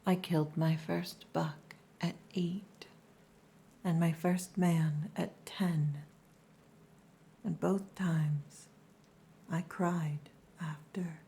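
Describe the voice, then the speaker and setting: Orc wife for ASMR roleplay, played by a woman: low, mellow, breathy near-whisper, strict and possessive caretaker. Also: heavily acted.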